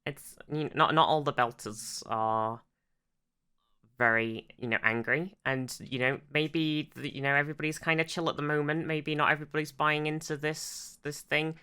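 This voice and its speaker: Podcast co-host, mid-pitched, clear tone, informal and sweary, halting delivery that doubles back. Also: fairly even intonation, bright, forceful tone. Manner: halting, circling explanations with frequent swearing. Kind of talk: casual podcast discussion.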